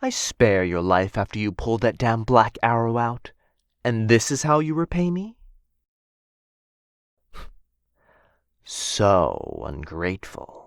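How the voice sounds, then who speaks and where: A man's voice: lowish voice, gravelly, dry recording, studio quality, heavily acted. A man playing a smug, menacing, possessive dragon captor in a fantasy ASMR roleplay, drawling condescendingly and theatrically. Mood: scornful, indignant.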